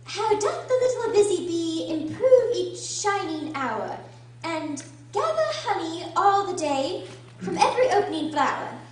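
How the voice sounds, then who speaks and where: A woman's voice: extremely high pitch, sing-song, a little slow, darkish tone, exaggerated voice. A woman playing a polite, bewildered little girl in a radio drama, earnest, hesitant and easily flustered. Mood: polite, timid. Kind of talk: amateur radio drama acting. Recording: very echoey room, distant mic, faint noise.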